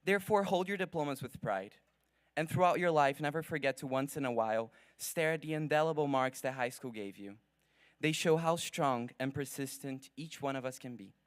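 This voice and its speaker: A young man whose first language is Portuguese, giving an earnest, formal graduation speech from a podium at a ceremony, with measured pacing and dramatic pauses. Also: medium pitch, smooth, dry recording. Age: young adult. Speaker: graduating student speaker. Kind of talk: prepared commencement speech.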